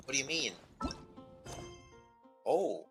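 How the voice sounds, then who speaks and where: A man giving casual live game commentary as a streamer, reading text aloud and thinking out loud. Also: mid-range voice, big pitch swings, slow pace, vocal fry, over music. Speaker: streamer, vtuber.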